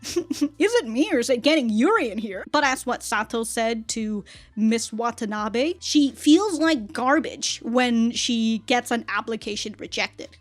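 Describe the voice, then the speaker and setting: A woman hosting a casual anime reaction and review, speaking in an animated, exclamatory way and often chuckling as she talks. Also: fairly high pitch. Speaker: reaction video host.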